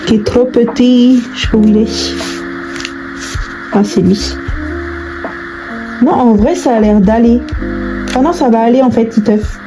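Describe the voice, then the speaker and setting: A woman's voice: on the high side, measured pace, long pauses, music in the background, rough recording. A young adult woman chatting casually in slangy, informal language, thinking aloud as she crafts. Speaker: online video creator. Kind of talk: casual chat while crafting.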